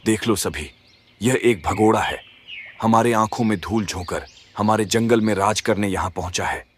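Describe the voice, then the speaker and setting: A man voicing an aging lion king in a cartoon fable, trusting and dignified, speaking in a measured, earnest way. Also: on the low side, faint music behind, natural voice. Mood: grave. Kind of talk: Hindi animated moral story dialogue.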